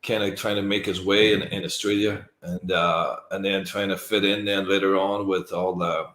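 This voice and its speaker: Deep-voiced man hosting in an echoey room. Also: flat delivery, moderate pace, a bit bright. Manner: thoughtful, empathetic, hesitant with fillers. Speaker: interview host. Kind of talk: reflective conversational interview.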